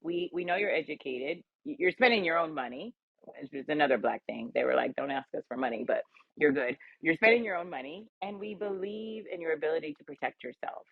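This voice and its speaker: A woman's voice: low voice, animated, a little fast, creaky. An American woman telling personal stories candidly, with an assertive, emphatic and colloquial way of talking. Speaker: round table guest, cannabis advocate. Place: remote audio chatroom call. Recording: roomy, tinny phone-like audio.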